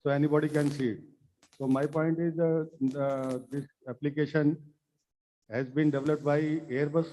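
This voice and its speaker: A man's voice: a little high, level tone, moderate pace, warm tone. A man putting hesitant technical questions to presenters from the audience, in slightly muffled sound.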